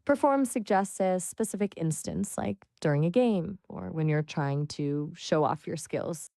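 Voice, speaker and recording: A woman tutors spoken English in a fairly low voice, recorded close and dry. She is patient and praising, and breaks down word choices step by step. Her explanations come out clear and steady. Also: measured pace, no room sound. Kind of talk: encouraging language coaching.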